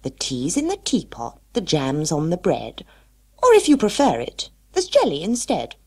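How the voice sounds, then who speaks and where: A woman voicing a busy, excitable, touchy male hare in a storybook, speaking eagerly and emphatically. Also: lowish voice, moderate pace, breathy edge, full character voice. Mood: proud.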